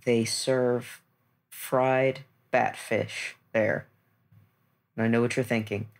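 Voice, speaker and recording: A man narrates his character's actions in a tabletop game. His pace is very unhurried, with a middling pitch. He improvises in a measured, deliberate way. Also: little pitch movement, creaky. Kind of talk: improvised storytelling.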